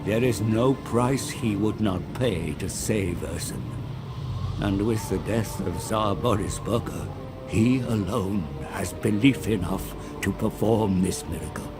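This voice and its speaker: An actor playing a mysterious, scheming advisor who narrates a video game cinematic in a grave, formal, deliberate manner. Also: male voice, on the low side, over music, lightly acted. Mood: portentous.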